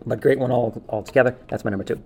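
This is a man talking casually as a podcast co-host. His voice is relaxed and dark, with plenty of gravelly fry. He gives his opinions informally and with enthusiasm. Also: medium pitch, rapid-fire.

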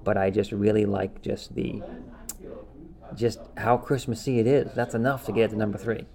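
Male co-host for casual talk show. Mellow tone with some fry, enthusiastic, emphatic. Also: fairly low pitch, fairly even intonation, moderate pace. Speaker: podcast co-host. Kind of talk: casual chat ranking favorite cartoon specials.

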